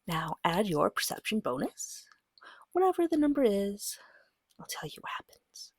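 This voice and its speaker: A woman giving a soft-spoken ASMR guide as a game master, gentle, patient and reassuring, with a breathy voice that often slips into a whisper, close to the mic. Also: medium pitch, very animated, moderate pace, no echo. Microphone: close microphone.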